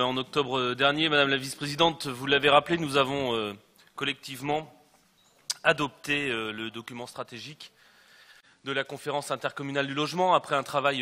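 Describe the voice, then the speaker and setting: A man giving a formal council speech as an elected official, in a halting, hesitant way. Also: mid-range voice, sharp tone. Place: large council chamber. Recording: echoey council hall with long reverb.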